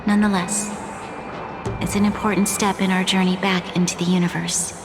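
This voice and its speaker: An actress playing an earnest, dignified young ruler giving a formal, composed address in a video game cutscene, in an echoey space. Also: female voice, fairly low pitch, level tone, brisk, over music, lightly acted. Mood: solemn, resolute. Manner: formal, composed speech-making. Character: earnest young female Supreme Guardian, dignified leader. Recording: echoey, roomy sound.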